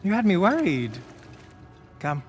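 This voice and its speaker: Smug, menacing warlord, highish voice. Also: male voice, huge pitch swings, a little hiss or hum, full character voice. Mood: smug taunting. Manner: measured, taunting, theatrical. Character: menacing, smug male warlord, calm captor. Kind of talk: video game cutscene acting. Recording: loud music behind.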